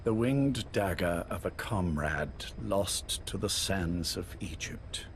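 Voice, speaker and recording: A man's voice: low voice, lively intonation, slow, exaggerated voice. A man playing an older army major in a video game, reflective and restrained. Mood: wistful, sombre. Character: wistful, reserved older British military major. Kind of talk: dramatic video game voice acting. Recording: faint noise, recorded tape audio.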